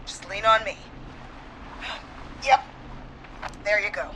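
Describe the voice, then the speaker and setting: A woman's voice: medium pitch, slow, noisy background, lightly acted. An actress playing a brave but frightened small-town woman dispatcher on the run in a video game. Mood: hushed, wary, determined.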